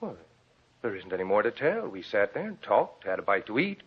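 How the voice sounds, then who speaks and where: Husky, swooping, defensive guilty husband. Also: male voice, on the low side, sing-song, a little fast. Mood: guarded. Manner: evasive, hesitant, melodramatic. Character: defensive, bitter husband who murdered his sick wife. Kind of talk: 1940s radio drama acting. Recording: muffled old radio recording.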